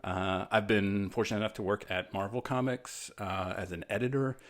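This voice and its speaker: A man's voice: lowish voice, fairly even intonation, moderate pace. An American man, a writer reading his own children's story aloud in a casual, self-effacing way with chatty explanatory asides.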